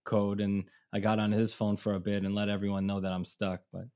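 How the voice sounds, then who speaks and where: A man's voice: on the low side, flat delivery, a little fast, dark tone. A middle-aged man from Israel, a touring musician, telling stories in a casual, rambling way with many restarts and laughs. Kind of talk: casual storytelling.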